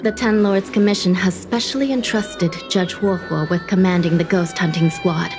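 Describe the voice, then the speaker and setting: A woman voicing a composed, formal female official in an anime game, measured as she gives orders. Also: fairly low pitch, steady tone, close to an everyday voice. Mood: solemn, formal. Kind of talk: anime game dialogue acting. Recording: music behind the voice.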